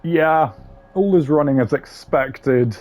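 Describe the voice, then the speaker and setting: A man's voice: a little high, slow, darkish tone, dull audio, faint music behind. A man hosting a gaming video with casual, wry, sardonic commentary and some swearing. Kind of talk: casual tier-list commentary.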